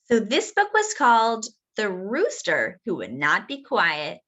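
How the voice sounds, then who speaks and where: Animated woman reading a picture book aloud. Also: mid-range voice, a little slow, dull audio. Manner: expressive, dramatic, addressing young listeners. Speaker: children's storytime host.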